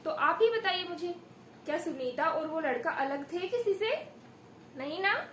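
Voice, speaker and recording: A woman giving a demonstration lesson as a primary school teacher, expressively telling a story and prompting pupils with questions and praise. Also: extremely high pitch. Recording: echoey room with faint background noise.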